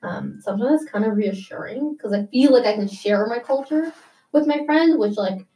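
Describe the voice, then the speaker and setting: A woman's voice: a little high, expressive, normal speed, warm tone, far from the mic, poor audio. Chatty young woman, a bit off-mic. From United States. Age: young adult. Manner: chatty, many "like" fillers. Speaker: interviewee. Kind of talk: casual interview.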